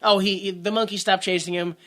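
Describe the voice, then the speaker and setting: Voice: mid-range voice, little pitch movement, a little fast, fairly bright tone. A podcast co-host in casual movie-review chat, rambling and sarcastic, often breaking off mid-sentence. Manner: rambling, self-interrupting, sarcastic.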